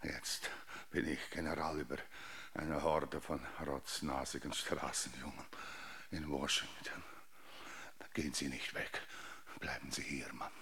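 An actor playing a broken, deranged old general in a German radio drama, rambling, pleading and grandiose. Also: male voice, extremely low pitch, little pitch movement, unhurried, pauses often, sharp tone, a little hiss or hum, full character voice. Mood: bitter rambling. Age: older.

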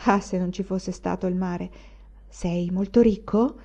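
A woman's voice: fairly low pitch, fairly even intonation, unhurried, mellow. A woman voicing a superstitious, talkative old female servant in an audiobook. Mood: curious. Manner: fussy, chattering storyteller. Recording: dull lo-fi recording.

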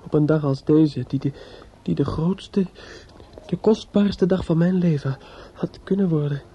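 A man's voice: on the high side, unhurried, warm tone. An actor playing a drunk, bitter, grieving judge's son in a radio play, stammering and repeating himself as he broods. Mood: bitter brooding. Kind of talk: radio drama acting with inner monologue. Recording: muffled, noisy old radio play recording.

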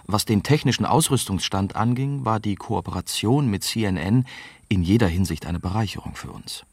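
A man's voice: fairly low pitch, a little mellow, no room sound. An actor playing a young German reporter who narrates his story in the first person, as scripted radio drama. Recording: clear music bed behind narration.

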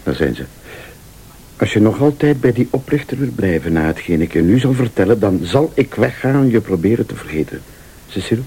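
An actor with a Flemish accent playing an insistent, accusing man in a radio play, deliberate and probing, sometimes sardonic or pleading. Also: fairly low pitch, very animated, dark tone, breathy edge, exaggerated voice. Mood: grave.

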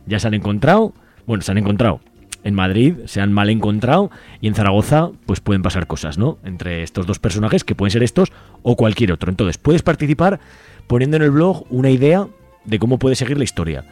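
A man's voice: lowish voice, big pitch swings, brisk, darkish tone, faint music behind. A man with a Castilian accent from Spain, presenting casually as a radio host in a chatty, colloquial way. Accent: Spanish from Spain.

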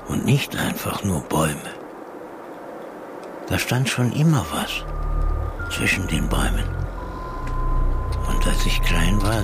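Deep, gravelly, brooding storyteller. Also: male voice, heavily acted. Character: man recalling an eerie forbidden childhood memory. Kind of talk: radio drama monologue, storytelling.

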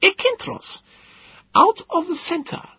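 A man voicing a thin, fragile, eccentric male professor in his thirties with a light German accent, excitable and rambling. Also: extremely high pitch, slow, no echo, pitched above the performer's own voice, heavily acted. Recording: dull lo-fi recording.

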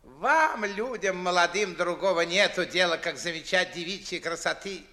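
A man's voice: sits high, moderate pace, pushed and bright. An actor playing an older, fussy, grumbling and suspicious father, blustering theatrically in 1950s Russian radio verse. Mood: nosy, grumbling. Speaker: stage actor.

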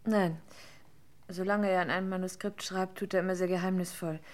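An actress playing an author's guarded, defensive wife under suspicion in a German radio crime drama. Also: female voice, fairly low pitch, steady tone, fairly quick, lightly acted.

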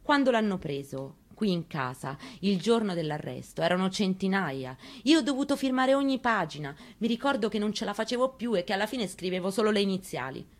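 A woman reading a memoir aloud in a radio studio. She tells it in the first person, in a quiet and measured way. Her pitch is mid-range. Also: animated. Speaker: reader.

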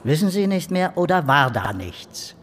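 Sardonic male detective, lively and reverberant. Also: on the high side, normal speed. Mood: probing, needling. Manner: dry, mocking, clipped. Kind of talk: radio crime drama acting.

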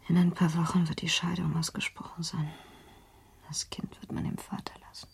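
An actress playing a shattered young wife in a period radio drama, speaking in a subdued, halting whisper. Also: female voice, sits low, flat delivery, vocal fry. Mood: despairing, resigned. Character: shattered young wife quietly facing disgrace, 19th-century German drama. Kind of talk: radio play acting.

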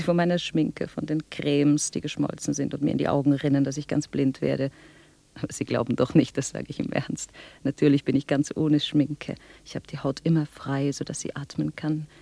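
Creaky, mellow, slyly taunting man. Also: a little high, level tone, fairly quick, no room sound. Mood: mock-polite, needling. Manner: mock-courteous, rambling, self-correcting. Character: sly, taunting visitor, falsely polite and chatty. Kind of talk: German literary radio drama acting.

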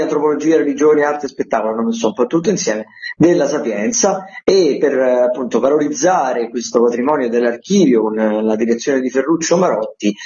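A man giving a semi-improvised radio round-up of events as a critic, with hesitant, drawn-out phrasing. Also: mid-range voice, darkish tone. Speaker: theatre critic reporting a weekly events agenda. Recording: echoey, dull lo-fi radio feed.

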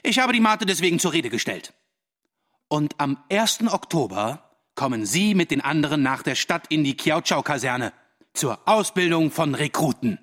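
Higher-pitched, patronising naval officer. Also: male voice, lively intonation, a bit bright. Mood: pompous, approving. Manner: formal, deliberate, condescending military address. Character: stiff, patronising naval officer, a lieutenant commander. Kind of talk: radio drama acting.

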